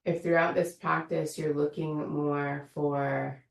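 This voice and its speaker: A low-voiced woman guides a meditation, her words unhurried and gently inviting. Her voice has a gravelly edge. She sounds a little away from the mic, and the room gives it an echo. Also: flat delivery, far from the mic. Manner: slow, soothing, invitational guidance. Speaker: meditation teacher. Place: room of an online yoga studio.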